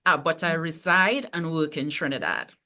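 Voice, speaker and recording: Woman from Tobago, panel guest, bright clear tone, measured explaining. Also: low-pitched, expressive. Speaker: guest, librarian. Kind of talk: online panel talk.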